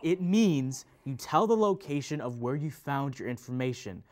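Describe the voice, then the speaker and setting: A man's voice: medium pitch, animated, moderate pace, over music, natural voice. A man playing a pedantic teacher in an instructional play, stressing key words in a didactic way. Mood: instructive. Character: pedantic male teacher patiently correcting a student. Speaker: readers theater performer. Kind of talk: scripted instructional stage dialogue.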